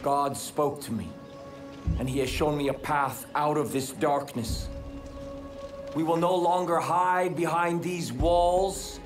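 A middle-aged cult prophet from a video game, preaching with solemn fervor. His delivery is slow and measured, each phrase weighted like scripture. Music plays behind him. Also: fairly high pitch, steady tone. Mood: fervent. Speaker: actor voicing a cult leader in a game. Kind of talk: dramatic game cutscene acting, preaching. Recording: music under the voice.

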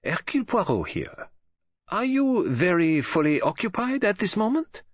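A man's voice: sits high, very animated, no room sound, voice pushed up. Man doing a fussy old foreign detective. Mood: fastidious. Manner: precise, formal, slow and mournful, French phrases. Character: fastidious elderly male foreign detective. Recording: dull lo-fi audiobook recording.

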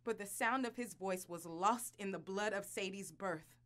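Woman acting anguished wife, bright forceful voice. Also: medium pitch, lightly acted. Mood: weary, anguished. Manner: intense, accusing, deliberate. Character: bitter, anguished wife and reluctant adoptive mother, devout. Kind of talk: dramatic audio drama acting. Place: small recording studio. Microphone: studio condenser mic.

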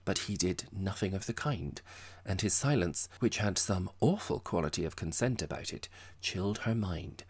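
Male audiobook narrator, lowish voice, wry and measured literary storytelling. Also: breathy edge.

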